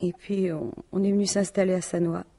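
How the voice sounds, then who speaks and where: An actress playing a grieving, plain-spoken widow in a French radio detective drama, speaking haltingly. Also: female voice, lowish voice, little pitch movement, warm tone. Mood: subdued, halting. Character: grieving, indignant widow of a murdered businessman.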